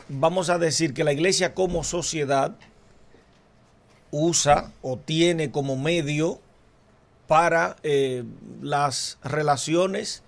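Brief: male priest guest, gravelly and slightly high, measured explainer. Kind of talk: explanatory talk.

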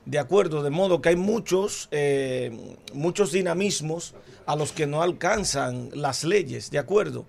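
Brief: male priest guest, gravelly and slightly high, measured explainer. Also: animated, darkish tone. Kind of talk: explanatory talk.

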